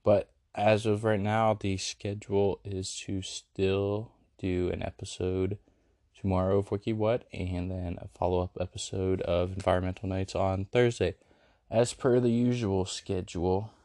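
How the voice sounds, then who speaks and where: A man's voice: low-pitched, flat delivery, measured pace, warm tone, no echo, clean studio sound. A young man hosting his podcast, a bit congested from a cold, rambling casually with lots of fillers. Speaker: podcast host. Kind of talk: casual late-night podcast chat. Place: a house with dogs.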